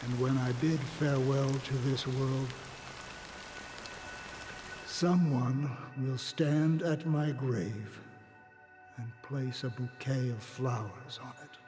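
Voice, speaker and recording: A man acting a slow, solemn veteran warrior. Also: mid-range voice, music in the background, lightly acted. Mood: reflective. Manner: grave, measured, philosophical. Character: weary, solemn male veteran warrior guiding lost souls. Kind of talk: dramatic scripted game cutscene acting. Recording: steady rain noise behind the voice. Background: rain.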